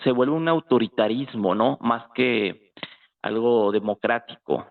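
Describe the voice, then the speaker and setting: A man's voice: medium pitch, unhurried, gravelly. Mexican man on a tinny phone-like line. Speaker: guest. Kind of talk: casual political discussion. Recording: tinny, phone-like call audio.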